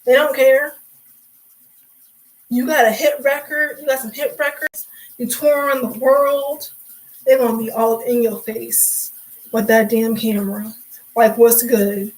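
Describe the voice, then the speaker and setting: A young woman hosting a casual livestream chat, talking in a sassy, chatty way, her voice hoarse and raspy from hours of talking. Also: fairly high pitch, little pitch movement, measured pace, long pauses, rough recording. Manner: sassy, chatty, calls viewers sweetie and honey. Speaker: livestream host.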